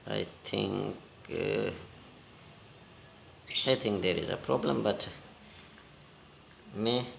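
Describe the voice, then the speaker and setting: A man giving a hands-on repair demonstration in halting, non-native English, step by step. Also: on the low side, gravelly, echoey room, a little hiss or hum. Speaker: repair technician giving a tutorial.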